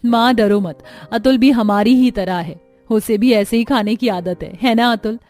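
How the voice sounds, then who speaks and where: A woman's voice: fairly high pitch, measured pace, a little mellow, soft background music, lightly acted. A woman voicing an earnest, outspoken young female student in a cartoon story. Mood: reassuring.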